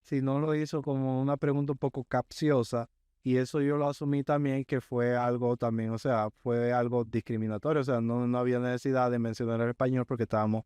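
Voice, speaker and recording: A man who is a guest on a podcast, telling a work story in a measured way and often checking in with his listener. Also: mid-range voice, level tone, mellow, clean tone, no room sound. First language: Spanish. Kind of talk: casual interview chat.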